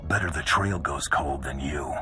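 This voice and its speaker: Very deep gruff bounty hunter. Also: male voice, normal speed, bright, forceful tone. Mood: firm, protective. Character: gruff, confident male bounty hunter, terse and cocky. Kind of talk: dramatic video game dialogue. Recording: game music behind the voice.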